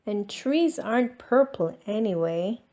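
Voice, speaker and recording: A woman's voice: mid-range voice, expressive, a little slow, darkish tone, highs cut off, lightly acted. A woman voicing a bossy, indignant young schoolchild in a children's storybook.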